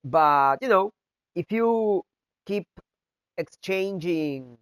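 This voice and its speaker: Nasal, congested man, slow and halting. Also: high-pitched, animated, a bit bright, clean tone, no echo. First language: not English. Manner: halting, many fillers and restarts. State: has the flu, congested. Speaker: video game content creator. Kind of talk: casual gameplay tutorial talk.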